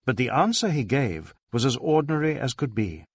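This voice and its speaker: Man, audiobook narration, mid-pitched, expressive, varied intonation, dry sound. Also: fairly quick, breathy edge, no echo. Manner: expressive storytelling.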